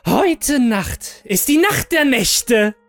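Eerie, high, dry-voiced ticket seller. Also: male voice, very animated, fairly bright tone, dry recording, soft background music, full character voice. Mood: eerily inviting. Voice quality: rough, dry. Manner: slow, eerie, inviting. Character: gaunt blind old ticket seller, eerily inviting. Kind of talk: horror audio story acting.

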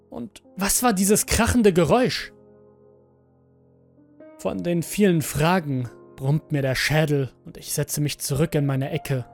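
Slow-speaking man narrating horror over music. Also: on the high side. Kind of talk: scripted first-person horror audio story narration. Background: background music.

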